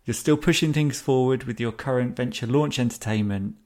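A man's voice: medium pitch, fairly quick, a little mellow. Earnest male podcast host. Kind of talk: scripted intro then interview questions.

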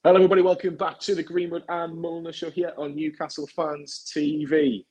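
A man's voice: fairly high pitch, flat delivery, a little fast, warm tone, husky. A young man hosting a football podcast, chatty and teasing with rambling asides. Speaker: podcast host.

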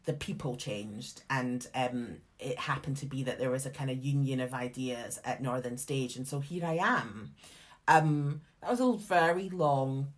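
A Scottish woman in her thirties, a theatre director telling her career story in a frank, lively, casual way. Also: very deep voice, measured pace. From Scotland. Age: 30s. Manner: lively, frank, casual asides, many fillers and restarts. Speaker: guest, theatre artistic director. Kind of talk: candid conversational storytelling.

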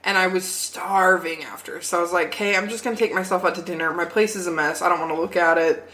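Animated young woman telling a story. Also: lowish voice, fast talker, slight background noise. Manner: animated storyteller, laughs often. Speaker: podcast co-host. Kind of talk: casual storytelling chat. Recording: roomy echo.

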